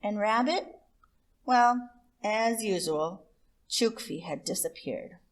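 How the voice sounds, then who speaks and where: A woman reading a picture book aloud to children as host, expressive, with deliberate emphasis on words. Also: medium pitch. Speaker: children's story reader and host.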